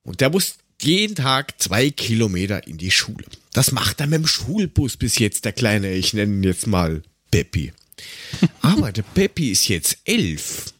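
A man talks as a podcast host with very animated intonation. He rambles sarcastically through casual, comedic stories. The sound is dry, with little room on it. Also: on the low side, measured pace, breathy edge, no room sound. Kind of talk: casual comedic chat and storytelling.

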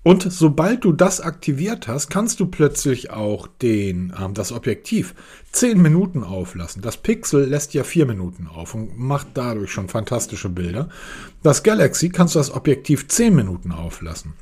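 A podcast host from whose comes through in his casual, rambling chat about gadgets. His voice sounds husky and worn, since he has a cold. He sits at a middling pitch and keeps restarting his sentences as his enthusiasm runs ahead of him. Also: sing-song, moderate pace, warm tone. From Hamburg, northern Germany.

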